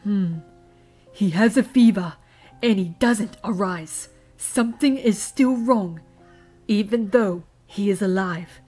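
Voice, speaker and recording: A woman playing a calm, reassuring zebra healer with a husky voice, who speaks in rhyme. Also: medium pitch, slow, faint music behind.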